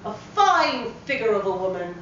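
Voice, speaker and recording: Expressive woman storyteller in echoey room. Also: medium pitch, normal speed. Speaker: actor, story reader. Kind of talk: dramatic short story reading. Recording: very echoey room, distant mic, slightly dull, faint noise.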